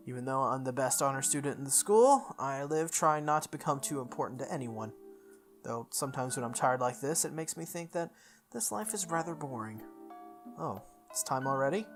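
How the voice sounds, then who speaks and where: A woman reading a visual novel aloud in first person, with expressive delivery, occasional stumbles and her own asides. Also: low-pitched. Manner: expressive, self-correcting reading.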